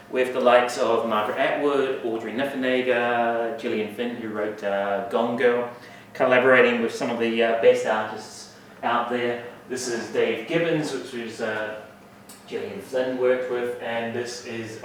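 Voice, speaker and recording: A man's voice: mid-range voice, a little hiss or hum. A man from New Zealand with a New Zealand accent giving a university lecture, dry and wry with asides and frequent fillers. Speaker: guest speaker. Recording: echoey hall, mic at a distance.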